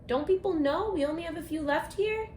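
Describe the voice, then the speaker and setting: A woman reading a rhyming picture book aloud for children, speaking expressively and deliberately, straight to her young listeners. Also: very high voice, darkish tone. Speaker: children's book author and read-aloud presenter. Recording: echoey room with faint background noise.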